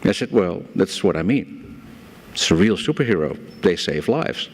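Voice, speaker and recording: A man's voice: low voice, big pitch swings, moderate pace, breathy edge. Dutch-accented man giving a talk. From Netherlands. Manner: storytelling, self-deprecating humor. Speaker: guest speaker. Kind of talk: conference presentation. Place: conference hall. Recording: hall reverb from a lecture room.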